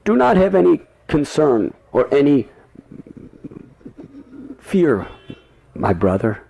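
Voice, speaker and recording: A man's voice: a little high, very animated, slow pace, vocal fry, warm tone, a little hiss or hum, pitched above the performer's own voice, full character voice. A man voicing a boastful, cheeky young Brahmin cowherd boy who swaggers and declaims in a proud voice. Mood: reassuring.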